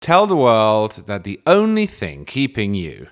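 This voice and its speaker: A man's voice: lowish voice, huge pitch swings, unhurried, clean tone. A man hosting his podcast with a dry, wordy, mock-grandiose manner before interviewing a guest. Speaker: podcast host. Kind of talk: scripted comic monologue and interview.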